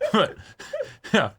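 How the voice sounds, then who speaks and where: A man co-hosting a reaction show, chatting casually and agreeably with frequent interjections and restarts. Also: high-pitched. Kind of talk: casual chat discussing a TV episode.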